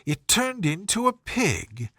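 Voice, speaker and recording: Man putting on a polite, higher-pitched little girl. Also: sing-song, takes it slowly, sharp tone, no echo, clean studio sound, full character voice. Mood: earnest. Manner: earnest, polite, questioning. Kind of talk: storybook character voice.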